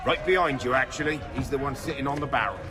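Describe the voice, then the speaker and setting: A man's voice: medium pitch, fast, crowd noise, natural voice. A man playing a grumbling but helpful ship's cook in a video game, talking in an offhand, colloquial way.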